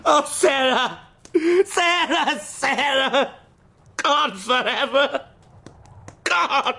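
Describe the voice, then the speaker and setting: A man's voice: very high voice, very slow, creaky, bright, forceful tone, heavily acted. An actor playing an unhinged, lovelorn man sliding into raving madness, theatrical and rambling, in a period radio drama. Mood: deranged, raving. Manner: theatrical, rambling, swooping between whispers and cries.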